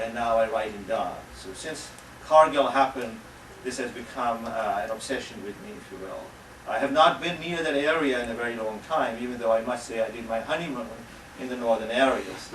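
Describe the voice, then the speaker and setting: A man's voice: medium pitch, fairly bright tone, distant mic. Middle-aged man, echoey lecture hall. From Pakistan. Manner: measured, frequent "uh" pauses, dry asides. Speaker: panelist. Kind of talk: academic panel talk. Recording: echoey hall, speaker off-mic, faint room noise.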